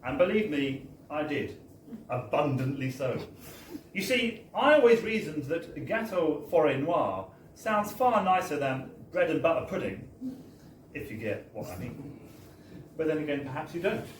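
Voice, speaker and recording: A man's voice: fairly high pitch, lively intonation, a little slow. Guy reading a funny story live, wry and theatrical, echoey and off the mic, bit of noise. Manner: theatrical, wry, with emphatic tag phrases. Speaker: reader of a story at a book launch. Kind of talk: dramatic live reading of a comic first-person story. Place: bar, live event. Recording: roomy, distant live recording with background noise.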